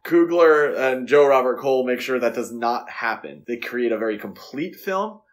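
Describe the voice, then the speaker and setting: A man's voice: mid-range voice, animated, normal speed. A man co-hosting a podcast, talking casually and giving his opinions on films in a conversational way. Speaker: podcast co-host. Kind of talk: casual film discussion.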